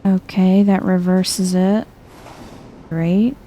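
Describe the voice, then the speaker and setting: Female gameplay streamer, ASMR-style: soft, unhurried, mellow delivery, casual and chill, faint noise behind her. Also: fairly low pitch, flat delivery. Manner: chill, murmuring to herself. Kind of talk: soft-spoken casual game commentary, ASMR vibe.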